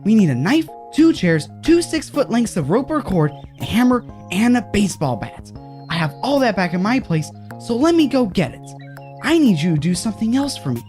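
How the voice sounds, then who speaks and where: A woman's voice: mid-range voice, very animated, normal speed, warm tone, soft background music, close to an everyday voice. A woman voicing a scheming, sinister fixer who gives orders in a bossy, theatrical way in an audio horror comedy. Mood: commanding, scheming. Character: scheming, commanding, sinister fixer named Fred.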